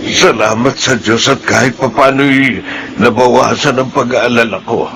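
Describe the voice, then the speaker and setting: An actor playing a worried, humble village husband in a Filipino radio drama, hesitant and pleading. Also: male voice, medium pitch, fairly even intonation, moderate pace, gravelly, fairly bright tone. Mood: relieved but worried. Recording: noisy radio drama recording.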